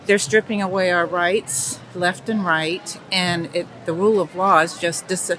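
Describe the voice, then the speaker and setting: Female American protester, bright forceful voice, impassioned, light outdoor noise behind. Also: lowish voice, steady tone, measured pace. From Virginia, United States. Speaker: protester interviewee. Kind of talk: impassioned street interview. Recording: faint outdoor street noise.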